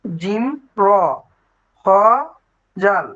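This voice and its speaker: Halting student reciting over a lo-fi line. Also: medium pitch. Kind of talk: reciting letters in class.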